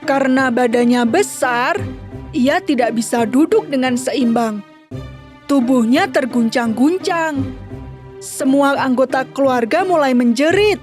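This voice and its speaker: A woman narrating a children's story in a slow, gentle reading. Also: high-pitched, over music.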